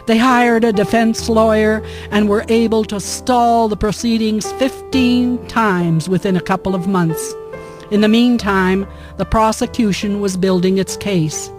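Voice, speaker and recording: A woman's voice: medium pitch, fairly even intonation, unhurried, music in the background. A woman reading scripted narration for a historical radio drama.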